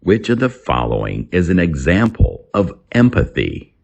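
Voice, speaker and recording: Very deep, creaky man reading an English lesson. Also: sing-song, a little mellow. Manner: deliberate, repeats key phrases. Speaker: English teacher. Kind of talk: scripted lesson narration, reading vocabulary and quiz items.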